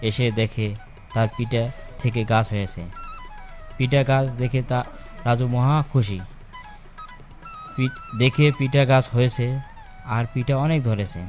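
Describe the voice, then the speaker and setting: A man tells a children's story in a slow, scripted narration. His pitch is medium, and soft music runs behind him.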